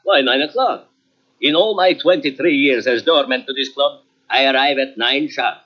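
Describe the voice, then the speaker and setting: A man's voice: medium pitch, moderate pace, natural voice. An actor playing a proud, formal club doorman of many years, speaking emphatically in a 1940s radio drama. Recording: dull lo-fi old radio recording.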